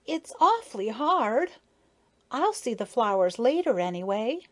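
A woman's voice: a little high, big pitch swings, measured pace, pitched above the performer's own voice. A woman voicing a pert, curious little girl bee in a storybook, with a childlike, artless manner. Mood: reluctant, pert. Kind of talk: children's storybook character reading.

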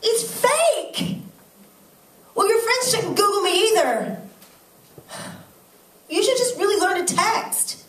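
A woman playing a struggling young actress on the phone in a stage monologue, halting and eager to please. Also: very high-pitched, animated, fairly bright tone, full character voice. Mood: defensive, apologetic. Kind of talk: one-sided phone call in stage monologue. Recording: echoey room, distant microphone.

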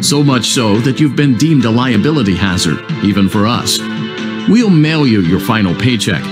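Man acting as smug, animated corporate announcer. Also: medium pitch, natural voice. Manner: salesman-like, mock-congratulatory. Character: cheery, smug male company announcer, cheerfully insulting. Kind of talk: upbeat retro corporate cartoon narration.

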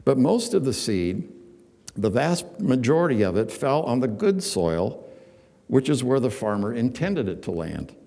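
An older man, a church elder, preaching a sermon from a lectern and reading scripture in a measured, plain teaching style. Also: medium pitch, very animated, creaky, dark tone. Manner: measured, plain teaching delivery with small restarts. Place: church stage behind a lectern, indoors. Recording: long hall reverb.

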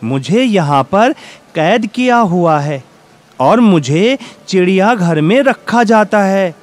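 Mournful storybook peacock, played by a man, captive, earnest, high-pitched. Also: lively intonation, slow pace. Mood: dejected, longing. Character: sad captive peacock longing for freedom.

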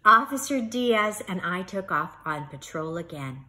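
Middle-aged lady reading a picture book to kids, bright voice, takes her time. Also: fairly low pitch, a little slow. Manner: expressive, teacherly. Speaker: children's storybook reader. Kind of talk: animated read-aloud of a children's story. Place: living room at home, indoors.

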